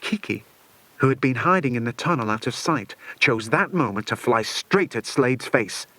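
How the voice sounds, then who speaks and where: A man reads a children's adventure audiobook in a dramatic narrating style. His mid-pitched voice is very animated in pitch, and the recording is dry and close. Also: normal speed, no room sound.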